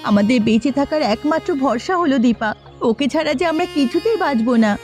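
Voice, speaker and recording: A woman acts out an anguished village mother from a cartoon. Her high voice pleads urgently and melodramatically, with faint music underneath. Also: expressive, fast talker, a little mellow. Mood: anguished, pleading. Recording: music behind the voice.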